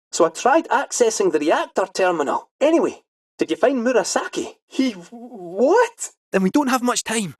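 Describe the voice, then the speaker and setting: A man's voice: high voice, dry recording, clean studio sound. A man voicing a chatty male robot cat fortune-teller with a Scottish accent, cheeky and folksy, full of rhyming quips. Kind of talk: video game voice acting.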